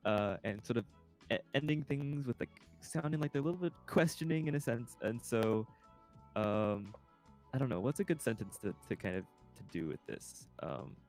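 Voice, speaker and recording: A man's voice: fairly low pitch, a little mellow. A man chatting on a livestream as a voice actor, rambling and correcting himself as he explains how he builds his character accents. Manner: rambling, with many ums and self-corrections. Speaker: streamer and voice actor. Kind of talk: casual livestream chat.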